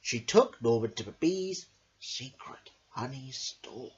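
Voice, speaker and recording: A woman's voice: extremely low pitch, lively intonation, takes it slowly, highs cut off. A woman hosting a children's storytime, reading expressively and addressing young listeners directly. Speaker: storyteller and host.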